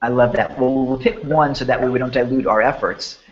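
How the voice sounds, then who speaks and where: A man hosting a promotional online chat who sounds hoarse and sniffly. He talks in an enthusiastic, rambling way with many restarts. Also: mid-range voice, steady tone, a little fast, lo-fi sound. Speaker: host.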